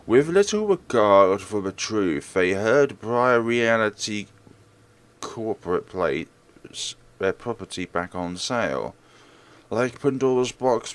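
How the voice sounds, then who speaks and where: A man hosting a game playthrough, switching between dramatic read-aloud narration and casual commentary. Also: on the low side. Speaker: let's play host.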